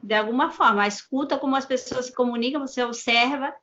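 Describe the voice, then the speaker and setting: A fairly high-voiced woman speaking with a heavy Peruvian Spanish accent, her native tongue plain in every word. She talks at an easy pace, pausing and restarting phrases as she thinks aloud, and the recording sounds dull and lo-fi. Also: fairly bright tone. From Peru. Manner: unhurried, halting with restarts and repeated words. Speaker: guest. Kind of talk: casual interview chat.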